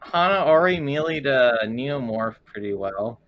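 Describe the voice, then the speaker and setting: A man chatting casually as a game player, halting and often repeating his words as he reasons. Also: mid-range voice, animated, lo-fi sound. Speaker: player in a tabletop roleplaying game. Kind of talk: casual chat.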